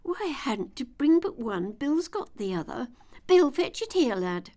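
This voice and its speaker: Woman acting out bossy animal labourers, colloquial, calling orders, storybook, high lively swoops. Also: a little slow. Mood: urgent, bustling. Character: bustling crowd of bossy working animals.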